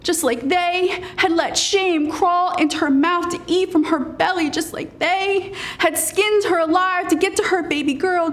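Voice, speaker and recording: A woman poet gives a dramatic spoken-word recital in a high-pitched voice. She moves very slowly and deliberately through each phrase, leaving weighted pauses, and keeps a solemn manner.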